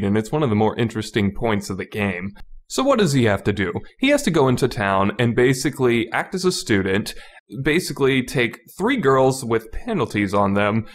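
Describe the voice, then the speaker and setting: Low-voiced man rambling through a review. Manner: rambling, repeats phrases for emphasis, self-correcting. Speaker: reviewer, podcast host. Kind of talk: casual unscripted video game review commentary.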